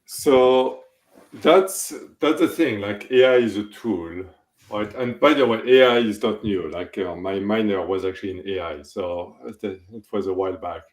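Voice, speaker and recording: A man in his forties with a French accent, giving relaxed, thoughtful interview answers. His voice sits fairly low. The call audio echoes in a roomy space. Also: measured pace. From France. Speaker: guest, technology executive.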